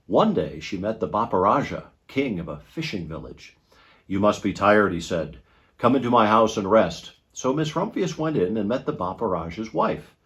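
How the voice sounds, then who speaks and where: Guy reading a kids storybook out loud, lowish voice, really swoopy expressive delivery. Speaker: storyteller, TV weatherman reading aloud.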